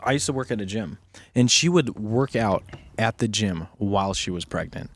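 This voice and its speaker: A man's voice: fairly low pitch, lively intonation, normal speed. A man in his twenties, a podcast co-host, chatting in a laid-back way and saying dude and man. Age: 20s. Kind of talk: casual chat.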